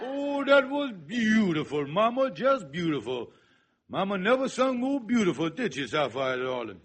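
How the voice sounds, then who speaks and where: A man playing a scheming, henpecked husband in old-time radio comedy, with a raspy voice and excitable, wheedling, folksy ungrammatical speech. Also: high voice, normal speed, heavily acted. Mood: placating. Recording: vintage radio broadcast recording.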